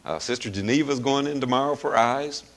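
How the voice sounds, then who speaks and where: A middle-aged man preaching in a folksy, chuckling manner, hoarse as he loses his voice, with plenty of fillers. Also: mid-range voice, a little fast. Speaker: pastor. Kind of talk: sermon.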